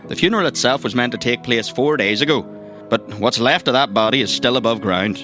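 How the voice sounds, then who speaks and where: An actor playing a chatty, thirsty agency boss in a comedic audio drama, with a Northern Irish accent and a rambling, hesitant, colloquial way of talking. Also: male voice, mid-range voice, fairly quick, music in the background, lightly acted. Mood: chatty, eager. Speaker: mission giver briefing recruits.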